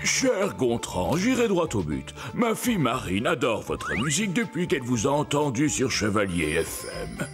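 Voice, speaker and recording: Cartoon king: formal, fatherly, grand, very wide expressive pitch, over music. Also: moderate pace, few pauses. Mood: stately. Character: stately, courteous cartoon king and doting father. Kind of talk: animated children's story dialogue. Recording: music under the voice.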